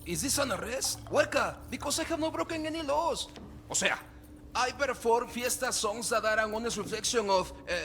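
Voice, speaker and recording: A vain male singer with a Mexican accent talks theatrically in a game drama. His voice is very high for a man and swings animatedly, bright and excitable. Faint music sits behind. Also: moderate pace, full character voice. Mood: defensive. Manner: theatrical, excitable, code-switching.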